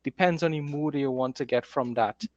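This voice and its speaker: A man's voice: on the high side, moderate pace, no echo, lo-fi sound. Enthusiastic male host, close dry sound. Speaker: host, author. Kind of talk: casual conversational talk about writing.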